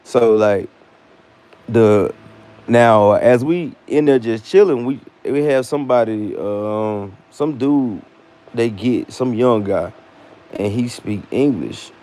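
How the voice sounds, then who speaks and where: A man's voice: medium pitch, slow. American man telling a casual story. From United States. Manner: animated retelling with slang and acted-out dialogue. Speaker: vlogger.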